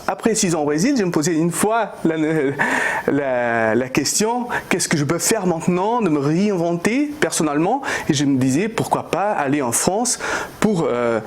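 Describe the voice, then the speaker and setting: Portuguese guy, 30s, kinda high voice, stumbles and restarts a lot, ceo talking business. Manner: hesitant, many fillers and restarts, non-native phrasing. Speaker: startup CEO, interview guest. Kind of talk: business interview answers.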